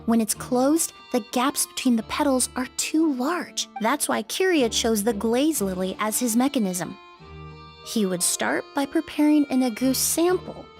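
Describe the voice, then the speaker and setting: An actress voicing a poised young perfumer who calmly and precisely explains how a crime was done. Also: female voice, high-pitched, a little slow, faint music behind. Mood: explaining. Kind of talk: game voice acting.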